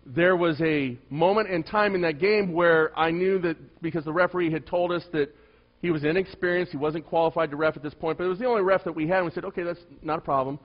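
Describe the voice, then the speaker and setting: A middle-aged male pastor with a soft voice, telling a story in a sermon and swinging between loud emphasis and hushed asides. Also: on the high side, muffled recording.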